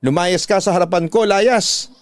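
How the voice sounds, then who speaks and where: A man's voice: high voice, normal speed, no room sound, clean studio sound, voice pushed up, lightly acted. A man with a Filipino accent voicing a stern, angry older father in a radio drama, scolding and commanding.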